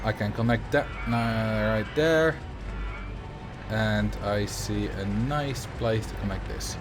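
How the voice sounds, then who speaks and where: A man's voice: on the low side, slow, music in the background. A man from the Netherlands with a Dutch accent, casually chatting with viewers and thinking aloud while he plays a building game on a livestream. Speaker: streamer.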